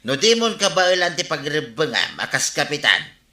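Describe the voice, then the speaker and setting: A man's voice: fairly high pitch, big pitch swings, normal speed, sharp tone, roomy sound, full character voice. A man with an Ilocano accent playing a stern, disappointed friend, admonishing in a comedy radio drama. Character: stern, disappointed male friend of the village captain.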